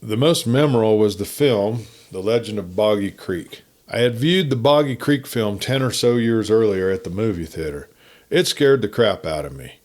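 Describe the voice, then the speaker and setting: Male campfire-tale narrator, on the low side, folksy and conversational, reading a story. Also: animated, moderate pace, a little mellow.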